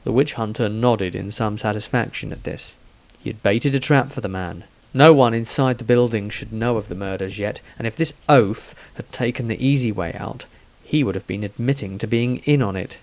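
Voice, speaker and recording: A man narrates a fantasy tale from a script. His voice sits a little low, in an audiobook storytelling style. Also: normal speed, hardly any pauses. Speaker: author narrator.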